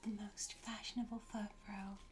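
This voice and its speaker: A woman doing an ASMR roleplay as a pet groomer, whispering softly and breathily in a gentle, reassuring, encouraging manner. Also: medium pitch, flat delivery, normal speed, music in the background.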